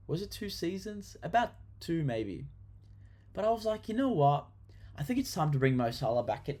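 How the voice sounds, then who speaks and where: A man's voice: medium pitch, darkish tone. Chatty young Australian man. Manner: chatty and enthusiastic, with frequent ums and restarts. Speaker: football vlogger. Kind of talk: casual fantasy football chat to camera.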